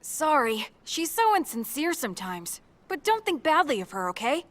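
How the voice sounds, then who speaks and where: A woman's voice: sits high, very animated, moderate pace, fairly bright tone. A woman voicing a small magical talking cat spirit in an anime dub, with a teasing, breezy, cutesy manner. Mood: gentle, breezy. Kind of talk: English anime dub acting. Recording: music behind the voice.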